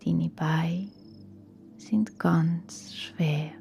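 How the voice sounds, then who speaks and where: A woman with a Swiss German accent guiding a relaxation meditation in a calm, soothing, hushed and breathy voice. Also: deep voice, extremely slow. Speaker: guided relaxation instructor for children. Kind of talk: slow guided yoga nidra meditation. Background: soft music.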